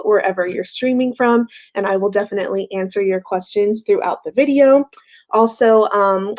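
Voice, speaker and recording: A woman's voice: mid-range voice, a little mellow. A young woman hosting a casual makeup tutorial livestream, her voice a little off while she recovers from being sick, chatty with frequent fillers. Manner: chatty, friendly, frequent "um" fillers and light laughs.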